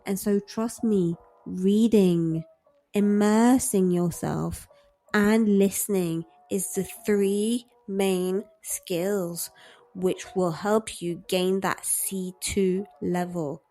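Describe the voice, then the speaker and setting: Slow, warm-voiced British-accented teacher. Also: female voice, mid-range voice, steady tone, faint music behind. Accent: British English. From French-speaking. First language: French. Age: 30s. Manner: earnest, repeats key phrases for emphasis. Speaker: English teacher. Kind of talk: encouraging direct-to-camera lesson.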